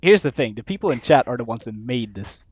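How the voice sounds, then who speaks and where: A man's voice: medium pitch, expressive, fairly quick, darkish tone, breathy edge. A man casting a live game stream, thinking aloud, laughing often and trailing off as he works things out. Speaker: caster. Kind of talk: casual live-stream commentary. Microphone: headset or desk mic, not on camera. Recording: dull lo-fi sound.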